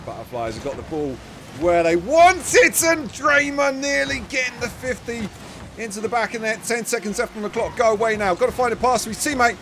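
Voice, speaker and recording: A man giving chatty live play-by-play commentary on a match, his voice starting to go hoarse. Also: very high-pitched, brisk, a little hiss or hum. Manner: chatty, self-deprecating asides, bursts of excitement on big plays. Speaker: esports commentator.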